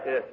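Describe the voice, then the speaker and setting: An actor with an American accent playing a pompous, easily flustered uncle in a 1940s radio comedy. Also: male voice, medium pitch. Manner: pompous, hemming and hawing. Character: blustering, flustered pompous uncle, prone to nervous chuckles. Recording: tinny, noisy 1940s radio recording.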